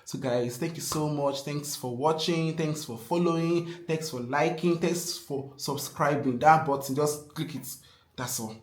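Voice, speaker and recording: A man's voice: on the high side, unhurried. Emphatic Nigerian man, echoey room. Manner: emphatic, repeats key phrases, earnest and pleading. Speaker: vlogger. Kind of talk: casual advice vlog to camera.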